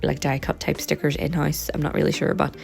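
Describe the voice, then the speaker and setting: A woman talks in a loose, rambling vlog chat, dotting her sentences with ums. Her voice sits low and has a gravelly, croaky edge from hoarseness. Soft music plays faintly behind her. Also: brisk. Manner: relaxed and rambling, with frequent ums. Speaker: vlogger and sticker and journal maker. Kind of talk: casual chatty vlog.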